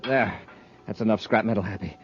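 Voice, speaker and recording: An actor playing a resolute, quick-thinking space commander, earnest and commanding, in an old-time radio serial. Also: male voice, lowish voice, close to an everyday voice. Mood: instructive, brisk. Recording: dull, noisy old radio recording.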